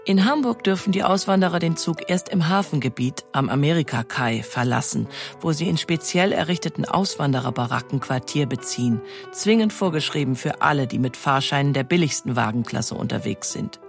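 A woman's voice: low voice, lively intonation, brisk, gravelly, hardly any pauses, soft background music. Woman narrator, scripted documentary read.

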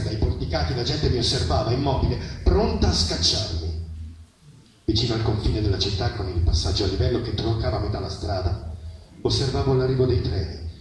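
A man reads literary prose aloud at a live event, heard from a distance through heavy echo. His pitch is middling and his phrasing is measured and dramatic, with pauses between images. Faint noise sits behind the voice. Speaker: reader at a public literary reading. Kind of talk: expressive literary reading of a first-person story. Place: live reading at an outdoor festival. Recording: very echoey, distant mic, noisy outdoor ambience.

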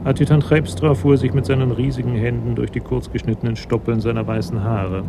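An actor serving as the composed narrator of a German radio crime drama, reading scripted prose. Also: male voice, mid-range voice, steady tone, brisk, gravelly, background noise, natural voice. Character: composed storyteller of a police tale.

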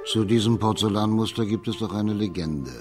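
An actor playing a dignified, courteous magistrate in a radio crime drama, speaking formally and with measured care. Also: male voice, fairly low pitch, faint music behind, lightly acted. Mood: pensive.

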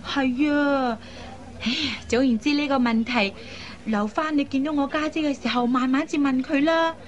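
An actress plays a lively young woman in a radio drama. Her voice sits high and she talks in a chatty, coaxing way, headstrong and full of expressive particles. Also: moderate pace, lo-fi sound, close to an everyday voice. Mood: eager, coaxing. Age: young adult. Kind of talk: Cantonese radio drama acting.

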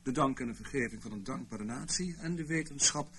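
A man's voice: mid-range voice, a little fast, fairly bright tone, slight background noise, close to an everyday voice. A man acting in a radio play as a wry, officious senior civil servant in intelligence, urbane and mock-regretful. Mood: smooth, persuasive.

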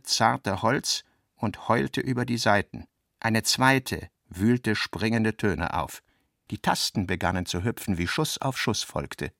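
Creaky-voiced man reading literary narration. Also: lowish voice, fairly bright tone, no room sound, studio quality. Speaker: narrator, reader of literary text and notes. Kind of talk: scripted literary narration for a radio play.

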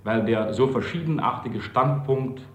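A man's voice: medium pitch, unhurried, natural voice. A man playing a polite, formal radio announcer of the late 1940s in an audio drama. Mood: courteous, formal. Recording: echoey room, faint noise.

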